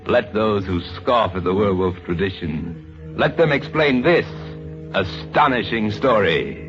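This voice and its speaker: Male vintage radio narrator, low, grave and theatrical, clear dramatic music behind, lo-fi recording. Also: expressive, measured pace. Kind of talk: old-time radio dramatic scripted narration.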